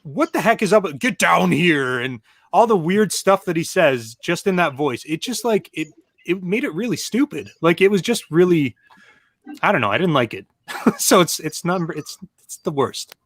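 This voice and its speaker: A man with a fairly high, very lively voice gives his opinions as a panelist in casual chat. He gripes emphatically and restarts often, recorded dry. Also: no echo. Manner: emphatic, griping, halting restarts. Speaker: panelist on a video game movie show.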